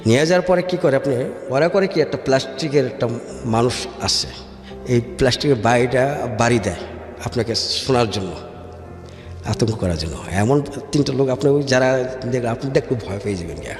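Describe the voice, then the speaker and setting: A man's voice: medium pitch, brisk. A man with a Bangladeshi accent recounts his life story in an interview, dropping in English words and checking that the listener follows. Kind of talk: personal storytelling in a radio interview. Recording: echoey reverberant room with music behind. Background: background music.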